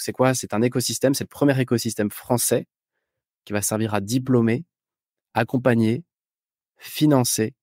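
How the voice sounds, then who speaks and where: A man's voice: lowish voice, a little mellow, no echo, studio quality. A man hosting a podcast, explaining things in an informal, conversational way. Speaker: podcast host. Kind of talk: conversational podcast monologue and introduction.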